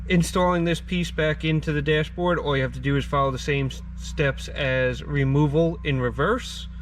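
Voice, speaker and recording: A man hosts a casual DIY garage walkthrough in a somewhat higher-pitched voice. He instructs step by step in plain words as he works. There is noticeable vehicle and workshop noise behind him. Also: fairly even intonation, normal speed. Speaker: host of a DIY garage channel. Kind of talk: casual how-to narration while working.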